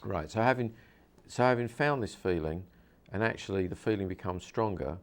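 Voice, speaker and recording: A man's voice: on the low side, lively intonation, moderate pace. A man, a hypnosis practitioner, interviewing a guest and explaining his method earnestly, with many repeats and restarts.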